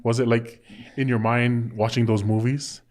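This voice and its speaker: A man hosting a podcast, thinking out loud in a relaxed way and leaning on "you know" as he talks. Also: medium pitch, moderate pace. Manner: reflective, fillers like "you know". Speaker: podcast host. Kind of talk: casual interview chat.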